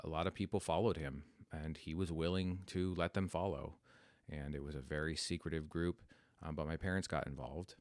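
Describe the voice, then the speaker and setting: Very deep-voiced American man, reflective. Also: no echo. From United States. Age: 40s. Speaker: podcast host telling their life story. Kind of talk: reflective, unscripted personal storytelling.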